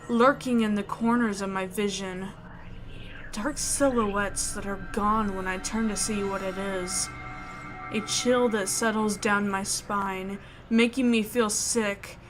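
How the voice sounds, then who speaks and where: A woman's voice: medium pitch, poor audio. A woman reading a horror visual novel aloud expressively, with casual asides of her own. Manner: expressive, acts out the narrator's thoughts. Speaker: host of a game playthrough, reading aloud. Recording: background music under the voice. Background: music.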